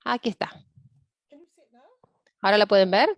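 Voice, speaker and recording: A woman interpreting a panelist's talk into Spanish in a steady first-person delivery. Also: medium pitch, normal speed, dry recording, dull audio. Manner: steady first-person rendering of another speaker.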